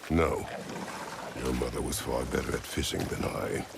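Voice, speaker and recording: Gravelly, rumbling stern warrior father. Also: fairly even intonation, normal speed, very noisy. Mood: flat, grave. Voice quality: very deep gravelly voice. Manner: terse, stern, formal wording without contractions. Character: gruff, stern warrior father, terse and commanding. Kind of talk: video game acting.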